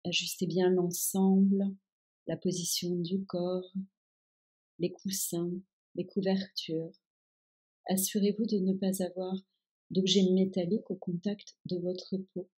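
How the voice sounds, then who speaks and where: Slow, husky woman guiding meditation. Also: on the low side. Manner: calm, soothing, instructing. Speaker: yoga nidra guide. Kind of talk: guided meditation, relaxation instructions.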